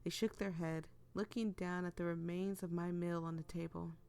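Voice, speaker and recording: A woman's voice: on the low side, flat delivery, normal speed, creaky, a little mellow, clean tone. A woman who is losing her voice to a cold, reading a visual novel aloud and chatting casually as she plays. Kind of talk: casual let's-play narration with read-aloud dialogue. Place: bedroom, propped up in bed.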